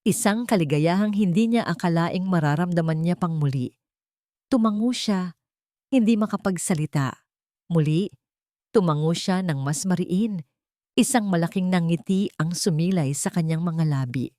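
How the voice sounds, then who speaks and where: A woman narrating a scripted dramatic audiobook story with measured, dramatic phrasing. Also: fairly low pitch, mellow, no echo, clean studio sound.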